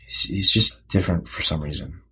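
A moody teenage boy, played by a man, with a low-pitched, husky voice. He is defensive and halting, often repeating his words, in a naturalistic audio drama style. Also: sharp tone, exaggerated voice. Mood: defensive, reluctant. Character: moody, defensive high school boy therapy patient.

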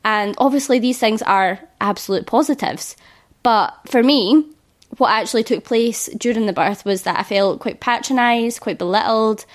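A woman in her thirties hosting a solo podcast as a birth educator. Her voice is slightly croaky from illness, and she talks in an earnest, reassuring way. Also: fairly high pitch, normal speed.

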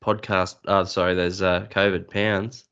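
An Australian man chats as a podcast guest, cracking dry, self-deprecating jokes. His voice is low and smooth. The recording has a dull, muffled quality. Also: little pitch movement, unhurried, a bit bright. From New South Wales, Australia. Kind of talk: casual interview chat.

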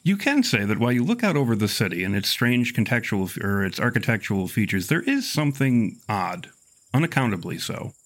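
A man improvising narration as game master of a tabletop horror game, with measured, wordy, formal phrasing. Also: fairly low pitch, very animated, fairly bright tone.

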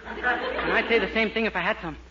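A man's voice: sits high, brisk, over a noisy crowd, close to an everyday voice. An actor playing a naive, boastful young man in an earnest, guileless way in a 1940s radio comedy. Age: young adult. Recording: noisy muffled 1940s radio recording.

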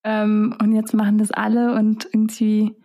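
Relaxed young German woman musing aloud. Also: medium pitch, moderate pace. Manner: relaxed, musing, many fillers. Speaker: singer-songwriter guest. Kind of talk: casual interview talk.

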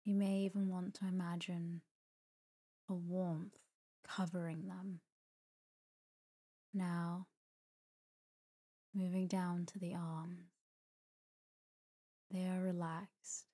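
A woman guides a sleep meditation in a low-pitched, very mellow voice. She is soft and breathy, at times close to a whisper. Her delivery is calm and soothing, with gentle pauses and an even, unhurried melody. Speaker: meditation guide, podcast host. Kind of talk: guided sleep meditation, slow scripted narration.

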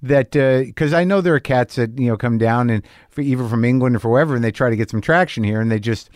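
A man hosting a podcast and interviewing a guest, offering quick encouraging remarks. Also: mid-range voice, darkish tone, dry recording, studio quality. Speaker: podcast host. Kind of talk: casual interview chat.

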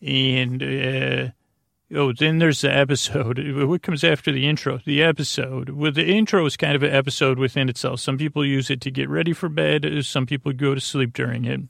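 A man hosting a sleep podcast, delivering a rambling bedtime monologue in a halting, self-interrupting way that drifts into tangents. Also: medium pitch, steady tone, vocal fry, a bit bright, dry recording, studio quality. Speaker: podcast host.

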